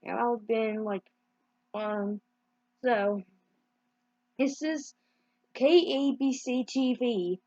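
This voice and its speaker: Halting high-voiced woman improvising news bulletins. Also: dark tone, no echo, lo-fi sound. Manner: halting, improvised delivery with repetitions and mid-word corrections. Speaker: amateur video creator playing emergency news announcer.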